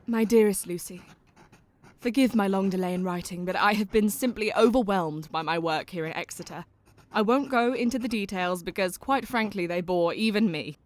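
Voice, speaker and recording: An actress playing an affectionate young Victorian woman who reads her own letter in a gentle, genteel way. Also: medium pitch, normal speed, natural voice. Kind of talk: audio drama letter reading.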